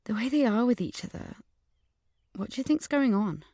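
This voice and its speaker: A woman's voice: fairly high pitch, lively intonation, normal speed, warm tone, dry recording, studio quality. A woman playing an angry, suspicious teenage schoolgirl in an audio drama, earnestly narrating her memories and visions and speaking in dialogue. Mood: indignant.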